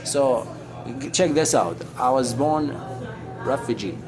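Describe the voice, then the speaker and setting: A man's voice: mid-range voice, expressive, takes it slowly. A middle-aged man from Syria talking casually and unscripted, explaining things in a preachy, repetitive, rambling way. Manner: rambling, repeats phrases for emphasis; preachy, addresses listener as brother. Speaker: street guitarist and talker. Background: guitar music.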